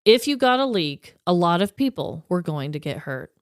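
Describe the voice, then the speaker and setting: Clear-voiced woman podcaster, close and dry. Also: on the low side, big pitch swings, normal speed, dry recording. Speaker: podcast co-host. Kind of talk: chatty storytelling, reading quotes from notes.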